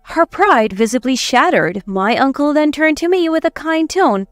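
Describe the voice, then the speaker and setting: A woman's voice: high voice, expressive, moderate pace, dry recording, studio quality, soft background music. A woman reading a scripted first-person story as its narrator.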